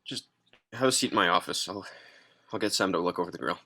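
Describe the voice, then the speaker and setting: A man playing a hesitant, caring fast-food manager in an amateur table read, speaking haltingly and repeating himself. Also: low-pitched, bright, forceful tone, heavily acted. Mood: reluctant, grave.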